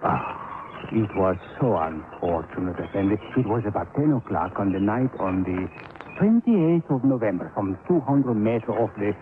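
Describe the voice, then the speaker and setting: A man's voice: fairly low pitch, lively intonation, moderate pace, natural voice. An actor playing a humble, obsequious foreign sailor who dotes on his birds, in a 1950s radio drama with accented, effusive, repetitive speech. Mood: mournful, evasive. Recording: noisy, tinny 1950s radio recording.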